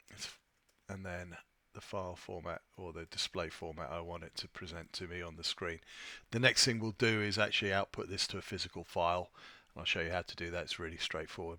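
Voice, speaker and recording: A man presenting an informal software tutorial, thinking aloud in a somewhat hesitant way as he demonstrates. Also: low voice, dry recording. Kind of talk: informal screen-share walkthrough.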